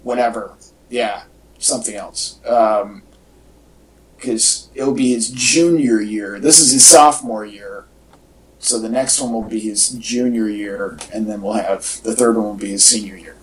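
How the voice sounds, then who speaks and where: A man's voice: on the low side, measured pace, far from the mic. A man co-hosting a casual fan podcast, talking in an enthusiastic, rambling way. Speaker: podcast co-host. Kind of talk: casual fan chat about superhero movies. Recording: echoey, off-mic home podcast audio.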